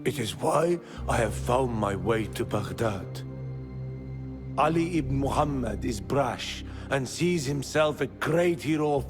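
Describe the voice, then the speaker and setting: An actor playing a devout, fond old rebel merchant with a gravelly voice in a video game. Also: male voice, mid-range voice. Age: older. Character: devout, nagging old rebel merchant, warm-hearted and grieving. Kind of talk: video game cutscene acting.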